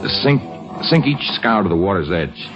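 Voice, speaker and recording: An actor playing a resolute naval captain giving orders in an old-time radio drama. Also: male voice, deep voice, very animated, normal speed, music in the background. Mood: brisk, authoritative. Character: resolute, commanding naval captain of a sailing fleet. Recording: dull, lo-fi old radio recording.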